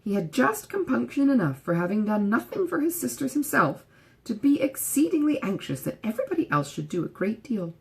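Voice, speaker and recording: Expressive woman narrator, lively intonation. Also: on the high side, brisk, creaky. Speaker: audiobook reader. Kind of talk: scripted literary narration.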